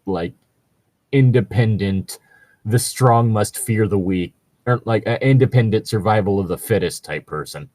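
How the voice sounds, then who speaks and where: A man with a fairly low voice talks in a close, dry recording. He rambles along casually and jokily as a co-host, swearing mildly as he goes. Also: measured pace, darkish tone, no room sound. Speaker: co-host reading and discussing tabletop gaming stories. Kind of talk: casual chat.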